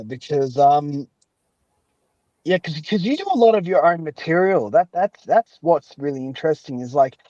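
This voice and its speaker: A man's voice: on the high side, a little mellow, lo-fi sound. A man hosting a casual podcast interview, chatty and often echoing back what his guest has said. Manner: chatty, rephrases and echoes guest's answers.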